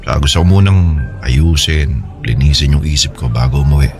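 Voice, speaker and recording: Deep-voiced, hesitant Filipino man. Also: over music. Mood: troubled, confiding. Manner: hesitant, halting, conversational. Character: troubled young married man, hesitant, friendly. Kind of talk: Filipino radio drama acting.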